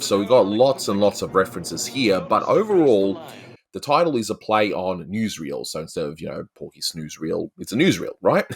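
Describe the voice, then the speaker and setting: A man with an Australian accent giving casual, rambling, chatty commentary as the host of a review show. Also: low voice. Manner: rambling, chatty, peppered with "you know".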